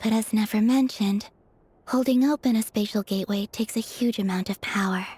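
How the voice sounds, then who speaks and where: An actress playing a gentle, serene moon goddess in a fantasy game, with a soft manner. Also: female voice, mid-range voice, normal speed, a little hiss or hum, natural voice.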